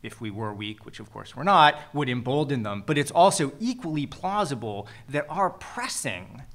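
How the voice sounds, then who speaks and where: A man's voice: mid-range voice, very animated, moderate pace, a bit bright. A man on a discussion panel, answering questions hesitantly as he thinks aloud.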